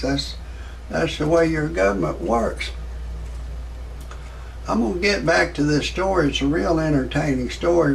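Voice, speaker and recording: Male, 60s, gravelly and slightly high-pitched, folksy rambling commentator, noisy home recording. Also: a little mellow, recorded from a distance. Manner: folksy, repetitive, halting delivery. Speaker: amateur online video commentator. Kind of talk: rambling off-the-cuff commentary with jokes.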